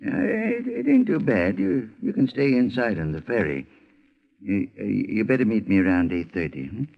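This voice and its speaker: Through a tinny old radio recording comes an aging night watchman, uneasy and humble. His low voice rises and dips as he stumbles haltingly through a vintage detective drama. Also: very animated, creaky, exaggerated voice. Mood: nervous, humble. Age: older. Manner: halting, hesitant, stammering.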